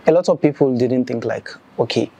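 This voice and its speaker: Northern Nigerian male host, mid pitch, loose chatty delivery, faint background noise. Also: brisk, dark tone. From Kano, northern Nigeria. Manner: casual, many filler "like"s. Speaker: podcast host. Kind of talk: conversational interview.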